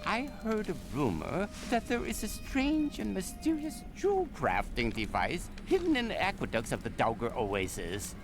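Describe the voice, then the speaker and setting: A flamboyant, adventurous merchant of gems in a fantasy game. His voice leaps and dips wildly as he chats, genial and theatrical, with music clearly playing behind him. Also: sits high, wildly swooping pitch, normal speed, hardly any pauses, heavily acted. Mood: playful, adventurous. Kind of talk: video game character dialogue.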